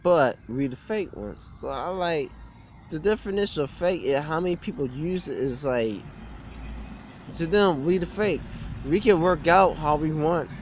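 Young man ranting, animated and high-pitched. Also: unhurried, a little hiss or hum. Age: young adult. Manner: blunt, repetitive, aggrieved. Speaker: gym vlogger. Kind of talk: informal opinionated rant to camera. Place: outdoors beside a brick building on a street. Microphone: phone or webcam at arm's length.

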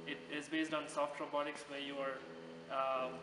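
A young man from Kerala, India, a master's student giving a testimonial, pausing often with fillers. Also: mid-range voice, level tone. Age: 20s. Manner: halting, many fillers. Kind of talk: testimonial interview. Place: outdoors by a harbor. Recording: roomy echo, distant mic, background noise.

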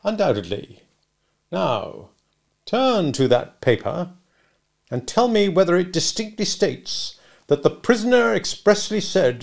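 Storybook bully played by a man: sarcastic gentleman cross-examiner, high-pitched, forceful and domineering in delivery. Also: creaky, heavily acted. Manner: interrogative, sarcastic, emphatic, domineering. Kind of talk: dramatic audiobook character reading.

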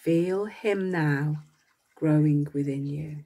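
A woman narrating a guided meditation as a coach, speaking gently in short phrases with long pauses between them. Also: low-pitched.

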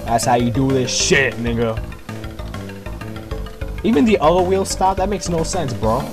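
A young man doing casual gameplay commentary, talking to himself in profane, slangy, excitable bursts. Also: medium pitch, measured pace. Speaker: gamer. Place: at home at night. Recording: music behind the voice. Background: music.

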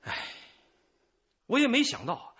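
High, garrulous, evasive informant. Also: male voice, wildly swooping pitch, takes it slowly, a bit bright, breathy edge, dull audio, exaggerated voice. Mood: sheepish, self-excusing. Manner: chatty, rambling, sighing, hedging. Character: garrulous, evasive male small-time informant, self-excusing. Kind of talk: radio drama dialogue.

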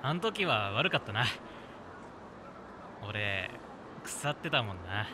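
An actor playing a cocky, easygoing young hairdresser in an audio drama, talking in casual, rough masculine slang. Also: male voice, fairly low pitch, sing-song, takes it slowly, noisy background. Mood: frank, resolute. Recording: music behind the voice. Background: music.

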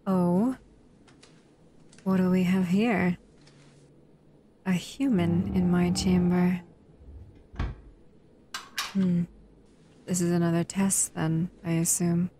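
A woman's voice: on the low side, mellow. A woman voicing a dangerous, bored humanoid monster in an audio roleplay, speaking to the listener in a languid, teasing, menacing way. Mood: bored, imperious.

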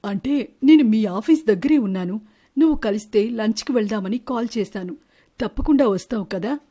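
A woman's voice: fairly high pitch, normal speed, warm tone, natural voice. A woman voicing a suspicious male bird. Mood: questioning. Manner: confrontational, questioning. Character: suspicious, wronged male bird husbands and lovers, accusing. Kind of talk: animated Telugu moral-story dialogue.

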